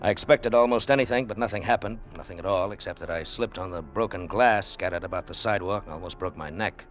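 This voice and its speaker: Deep male voice of an actor, dry wry adventurer, in control, commanding, old-time radio drama hero and narrator. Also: quick pace, faint music behind, lightly acted. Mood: wry, reflective. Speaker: lead character and narrator. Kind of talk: 1940s-50s radio drama acting.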